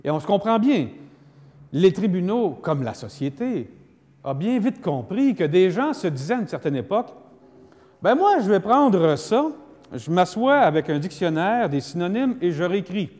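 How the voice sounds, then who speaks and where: A man's voice: sits high, big pitch swings, normal speed, a little mellow. Quebec man lecturing in an echoing hall. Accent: Quebec French. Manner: didactic, acts out dialogue, emphatic repetition. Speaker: lawyer giving a lecture. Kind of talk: public lecture on law with spoken examples. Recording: long echoing hall reverb.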